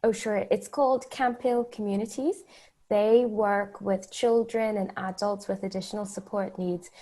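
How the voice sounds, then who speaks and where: A woman from the Bahamas with a mid-range voice, talking as a guest in a casual interview. She tells personal stories in an animated, conversational way. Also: mid-range voice, a little slow.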